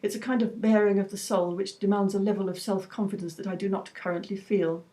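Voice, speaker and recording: A woman with a warm, mellow voice reading her own personal essay aloud. She keeps a measured, reflective pace, with a touch of dry wit. Her pitch sits in an ordinary middle range. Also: fairly even intonation.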